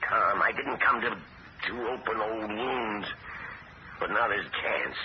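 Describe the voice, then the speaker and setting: An actor playing Dumont, an anxious house owner pleading for help, on a dull, hissy old radio broadcast. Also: male voice, fairly low pitch, takes it slowly. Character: Gilmore Dumont, desperate aging house owner. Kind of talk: 1970s radio drama acting.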